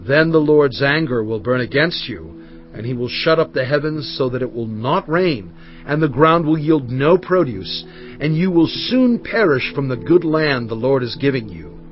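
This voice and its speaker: An actor playing a solemn prophet in dramatized scripture, exhorting his people in earnest, measured oratory with weighty pauses. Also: medium pitch, lively intonation, natural voice. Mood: solemn, urging. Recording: dull studio track over faint music.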